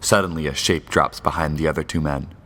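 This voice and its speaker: A man's voice: extremely low pitch, fairly even intonation, fairly quick, a bit bright. A man narrating an old-time radio crime serial in a dramatic scripted style.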